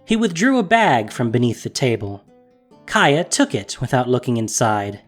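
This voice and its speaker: A man narrating a fantasy audio drama with expressive storytelling. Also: mid-range voice, moderate pace, soft background music.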